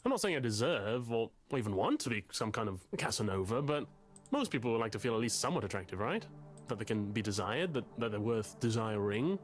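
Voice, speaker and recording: A man's voice: on the low side, very animated, brisk. An actor playing a nervous, self-pitying lonely man in a visual novel, speaking formally and hesitantly with fussy, wordy phrasing. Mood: self-conscious.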